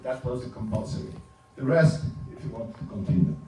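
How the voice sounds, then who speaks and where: A man in his seventies, a native Ladino speaker from Turkey, giving an informal talk over slides, halting and restarting with small chuckles. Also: medium pitch, very animated, warm tone. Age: 70s. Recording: roomy, distant, faint hum.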